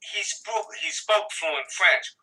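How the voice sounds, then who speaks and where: A man's voice: high voice, slow pace, pushed and bright. A man in his sixties, a guest on a video call, talking casually, rambling and correcting himself with a wry edge. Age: 60s. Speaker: interview guest. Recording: distant video call audio.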